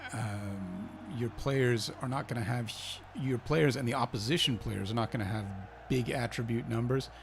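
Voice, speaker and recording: A man's voice: mid-range voice, vocal fry, a little hiss or hum. A man with an Icelandic accent, hosting a gaming channel and giving a chatty, think-aloud commentary as he plays a football manager game. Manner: chatty, thinking aloud, cheering his team on.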